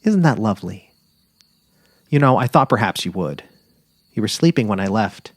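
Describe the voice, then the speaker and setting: A man's voice: lowish voice, big pitch swings, moderate pace, no room sound, clean studio sound. A man voicing an affectionate middle-aged wife on safari, eager and tender, in a dramatic literary reading. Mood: bright, chatty. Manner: cheerful, eager, tender, imploring.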